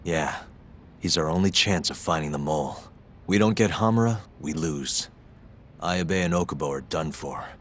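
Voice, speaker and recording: Game detective: low register, calm, determined, straight to the point. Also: male voice, normal speed, slight background noise. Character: calm, determined male detective planning a stakeout. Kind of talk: English video game cutscene acting.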